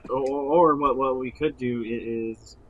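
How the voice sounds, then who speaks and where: A man chatting casually as a podcast co-host, halting and often stammering over repeated words. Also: medium pitch, fairly even intonation, lo-fi sound, a little hiss or hum. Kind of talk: casual chat.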